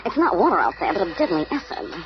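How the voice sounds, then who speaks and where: A woman's voice: lowish voice, wildly swooping pitch, quick pace, noisy background. A woman playing an earnest, defiant captive alien princess in a 1970s radio drama, with a grave, dramatic delivery. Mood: grave warning. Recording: vintage radio recording under music.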